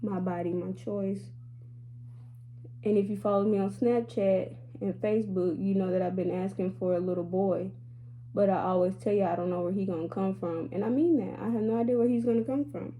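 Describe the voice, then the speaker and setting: A woman in her late twenties chats openly with her audience in a relaxed vlog. Her voice is mellow and warm, her delivery sassy and confiding. A faint hum sits behind her. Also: mid-range voice, brisk, rough recording. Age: 20s. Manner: chatty, candid and sassy, addressing viewers as y'all. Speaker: beauty vlogger. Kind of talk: casual chatty vlog talk.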